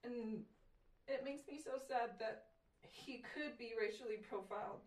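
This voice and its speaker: A woman's voice: fairly high pitch, measured pace. A woman from Samoa speaks casually and from the heart as a podcast guest. She sits a little away from the mic, so the room echoes around her voice. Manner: emotional, heartfelt. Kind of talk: casual conversation. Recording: roomy, distant from mic.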